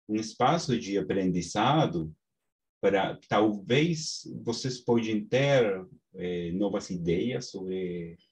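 Slow, low Peruvian man teaching online. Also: darkish tone, rough recording. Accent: Peruvian Spanish. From Peru. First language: Spanish. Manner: slow and halting, many restarts. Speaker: course instructor. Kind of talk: online class. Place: small home study with bookshelves. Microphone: webcam on video call.

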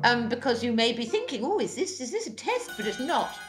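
A woman's voice: fairly high pitch, very animated, brisk. A woman voicing a fairy who poses as a grizzled old man in a comic pantomime, flustered, hesitant and evasive. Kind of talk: pantomime comedy. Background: music.

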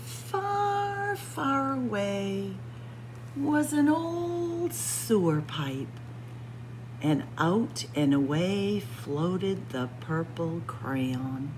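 A woman reading a picture book aloud in a dramatic, suspenseful story-time style, sometimes hushed for emphasis. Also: mid-range voice, very slow, noisy background. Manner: suspenseful, expressive, drops to hushed whispers for emphasis. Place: indoor library room with bookshelves and windows.

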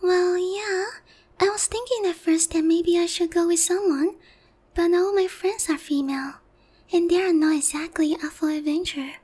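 Lady doing a shy airheaded young harpy girl, super high sweet polite voice, asmr roleplay. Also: steady tone, moderate pace, mellow. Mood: wistful, confiding. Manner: timid, hesitant, polite, airheaded. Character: naive, sheltered, polite young female harpy. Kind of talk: ASMR roleplay, addressed to one listener.

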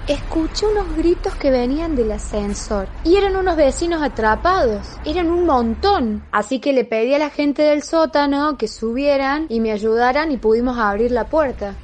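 A friendly neighbor played by a woman in a radio play. Her voice is high, over faint background noise. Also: lively intonation, normal speed, hardly any pauses, a little mellow. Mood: informative. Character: friendly female neighbor bringing news.

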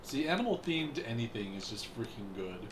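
A man's voice: medium pitch, big pitch swings, moderate pace, noisy background. A man chatting casually while playing a game with friends, teasing and laughing often, with some swearing. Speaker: co-host and gamer. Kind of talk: casual gaming chat.

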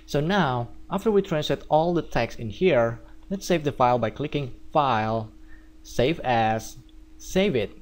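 Indonesian-accented man explaining steps. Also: mid-range voice. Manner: steady step-by-step explaining. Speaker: theme developer. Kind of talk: screencast tutorial.

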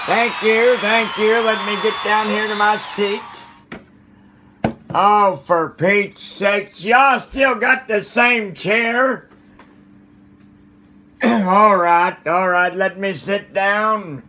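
A man's voice: sits high, drawn-out words, sharp tone, heavily acted. A man playing a cranky, folksy old man who stammers and mutters mild curses at his viewers. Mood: cheerful. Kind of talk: comedic character monologue to viewers.

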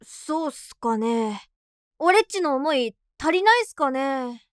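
Woman playing very high, bubbly boy. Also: expressive, unhurried, dry recording, studio quality. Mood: earnest. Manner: cutesy, slangy, playful. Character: bubbly, cutesy, playful teenage boy idol trainee. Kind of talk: anime voice drama acting.

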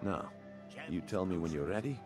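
Sly deep-voiced thief, music behind. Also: male voice, animated, moderate pace. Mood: conspiratorial, businesslike. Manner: smooth, knowing, calling the listener lad. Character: sly, confident thief recruiting a newcomer for a job. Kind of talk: video game character dialogue. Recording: game dialogue under clear background music.

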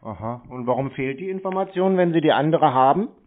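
A man with a fairly high voice plays a clueless customer in a casual phone call. He stalls and answers with questions. The sound is thin and phone-like. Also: expressive, a little fast. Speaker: prankster playing a clueless customer.

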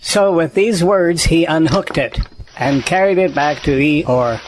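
A man narrating a children's story in an audio drama with a gentle, measured delivery. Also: on the high side, a little hiss or hum.

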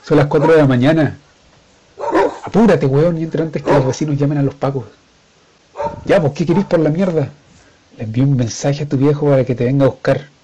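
A man's voice: a little high, brisk, pauses often, warm tone, a little hiss or hum, lightly acted. A man with a Chilean accent playing a conflicted divorced father in a colloquial, confessional radio drama. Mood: angry, alarmed. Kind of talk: radio drama monologue acting. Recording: slightly dull, lo-fi sound.

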